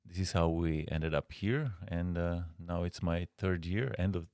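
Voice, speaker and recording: A man from Slovenia with a Slovenian accent, a guest designer answering interview questions in a halting way with frequent pauses. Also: low-pitched, darkish tone, clear, resonant tone, no room sound, clean studio sound.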